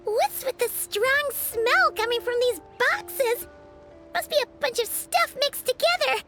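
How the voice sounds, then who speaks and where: A tiny, excitable floating companion in a video game, sitting very high and bright. Her voice leaps up and down as she fusses and chatters. Faint game music plays underneath. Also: slow pace, full character voice. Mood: anxious. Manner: fussy, talks about herself in third person.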